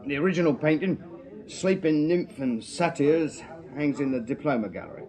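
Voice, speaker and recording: An actor playing a shrewd Victorian London police sergeant in a radio drama, with an English accent and a terse, dry manner. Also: male voice, a little high, normal speed, dark tone, close to an everyday voice. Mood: brisk, authoritative. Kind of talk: 1980s British radio drama acting.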